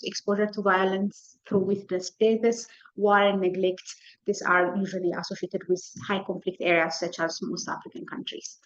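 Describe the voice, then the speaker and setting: A woman physician gives a medical slide lecture in an informal, conversational way. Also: medium pitch. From Ethiopia. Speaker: physician presenting a case lecture. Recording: dull, lo-fi lecture audio.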